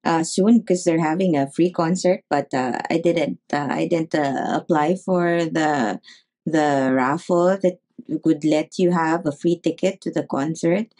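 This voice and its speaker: Low mellow-voiced woman doctor, calm advice, lots of ums and uhs. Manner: measured, with many fillers and pauses. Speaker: neurologist guest. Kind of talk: advice in an interview.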